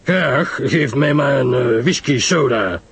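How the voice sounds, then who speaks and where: A man playing a gruff, grumbling old British general in an audio drama, pausing as he talks. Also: medium pitch, animated, fairly bright tone, heavily acted.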